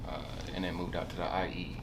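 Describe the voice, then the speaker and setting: Low-voiced young SoCal man, noisy recording. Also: little pitch movement, fairly quick. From Southern California, USA. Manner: slangy, rambling, hesitant, punctuated with bro and you know. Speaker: podcast guest, barber. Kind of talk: casual chat, telling life story.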